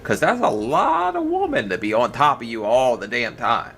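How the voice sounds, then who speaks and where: Man, casual reaction commentary, bright tense voice, lively intonation, blunt, exclamatory. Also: medium pitch, normal speed, a little hiss or hum. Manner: blunt, exclamatory, foul-mouthed. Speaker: reaction streamer.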